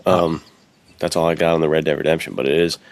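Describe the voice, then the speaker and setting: An American man with an extremely low voice and plenty of gravel in it chats as a podcast co-host. He is informal and emphatic, speaking in a casual conversational style. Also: fairly even intonation, fast talker, very noisy. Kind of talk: casual chat.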